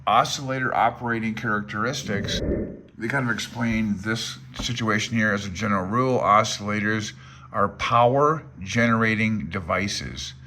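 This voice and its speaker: Bright, low-pitched man thinking aloud. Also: moderate pace, slight background noise. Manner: self-deprecating, unsure, thinking aloud. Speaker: amateur radio hobbyist presenter. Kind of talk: informal read-aloud and commentary on a handbook.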